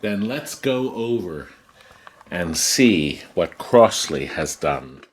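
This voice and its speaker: A man hosting a casual home-visit vlog, chatting in an animated way and asking lots of curious questions. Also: deep voice, slow pace. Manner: animated, inquisitive. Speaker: host filming a visit. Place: inside a home.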